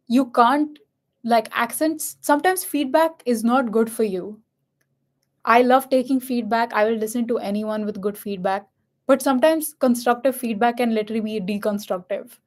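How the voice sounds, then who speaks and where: A young woman with a light Indian accent speaks candidly and reflectively in a casual chat. Her voice is fairly high for a woman. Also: unhurried, long pauses, a little mellow. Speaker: host and MC.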